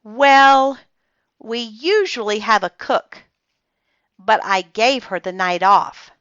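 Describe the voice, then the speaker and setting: A woman's voice: a little high, slow pace, fairly bright tone, clean tone, no room sound, lo-fi sound, pitched above the performer's own voice. A woman voicing an older grandmother who is prim and proper and clipped in her politeness.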